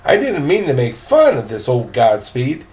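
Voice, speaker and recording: A man's voice: medium pitch, huge pitch swings, moderate pace, a little mellow, pitched below the performer's own voice. An older man voicing a frank, polite, well-off boy in a storybook, with matter-of-fact manners. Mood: apologetic.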